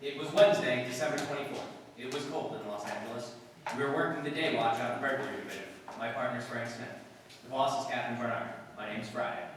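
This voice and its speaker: An actor playing a dry, laconic police detective sergeant in a radio drama, with clipped, deadpan delivery. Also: male voice, mid-range voice, quick pace, close to an everyday voice. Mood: matter-of-fact narrating. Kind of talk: 1950s radio police drama acting, scripted narration. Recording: distant, echoey hall with long reverb, hiss.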